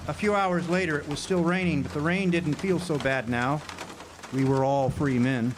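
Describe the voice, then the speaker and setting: A man's voice: on the high side, fairly even intonation, moderate pace, lightly acted. A man playing a wry noir drifter, noisy recording. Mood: wry, reflective. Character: world-weary drifter narrating his hardboiled small-town romance. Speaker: actor in a radio drama. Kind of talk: noir-style radio drama narration and dialogue. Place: outdoor amphitheater stage. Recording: noisy outdoor live recording with birdsong.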